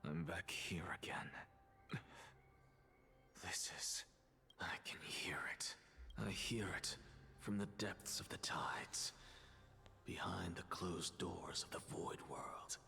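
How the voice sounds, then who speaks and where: Game role: weary, sinister truth-seeker, low breathy near-whisper, slow and deliberate. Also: male voice, bright, forceful tone, heavily acted. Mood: weary, wondering. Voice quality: low gravelly half-whisper. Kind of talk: scripted video game voice acting.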